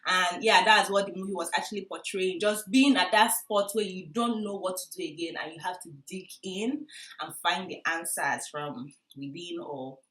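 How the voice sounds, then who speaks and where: A young woman with a Nigerian Igbo accent, chatting casually and expressively to viewers as she reviews a movie, with excitable, emphatic delivery. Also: fairly low pitch, moderate pace. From Enugu, southeast Nigeria. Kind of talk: casual vlog chat to viewers. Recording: roomy indoor echo.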